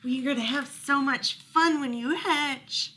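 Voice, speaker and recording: A woman reads as an eager young chick from a picture book. The high voice takes its time, gently persuading and encouraging a friend. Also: a little slow, slight background noise. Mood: eager, coaxing.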